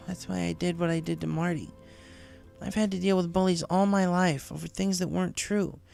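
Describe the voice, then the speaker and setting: A man voicing a hurt, defiant young female pegasus pony in a storybook reading, gravelly and bitterly confiding. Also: sits high, darkish tone, pitched above the performer's own voice. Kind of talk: acted storybook dialogue.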